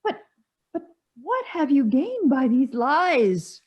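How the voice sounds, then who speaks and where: A woman's voice: high voice, sing-song, slow pace, a little mellow, exaggerated voice. A woman playing a fussy, incredulous society lady in a stage play, with an indignant, questioning, theatrical manner. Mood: scandalized. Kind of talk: stage acting in an online one-act play.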